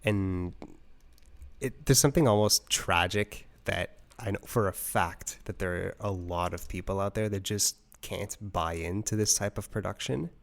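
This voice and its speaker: A man's voice: sits low, a little slow, creaky. A man hosting a podcast, chatting casually and thinking aloud in a conversational way. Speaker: podcast host and interviewer. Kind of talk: casual review chat.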